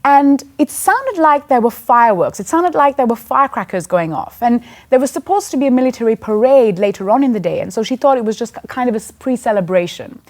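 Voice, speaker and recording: A woman's voice: fairly high pitch, a little mellow. A woman in her thirties from Sri Lanka, telling a personal story in an earnest, conversational sermon. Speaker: church speaker.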